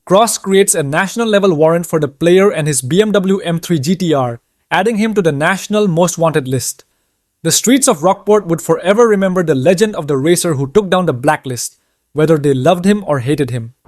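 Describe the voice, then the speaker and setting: A male narrator reads a scripted story recap. His voice sits fairly high, and the recording is dry and close, free of room echo. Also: expressive, fairly quick, darkish tone.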